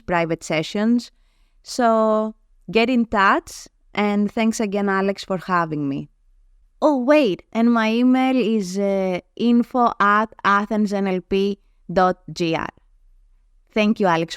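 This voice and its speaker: A woman with a Greek accent, a native Greek speaker, giving measured, explanatory answers as an interview guest. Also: medium pitch, smooth, dry recording, studio quality. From Greece. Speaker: guest, NLP trainer. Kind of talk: reading prepared answers in an interview.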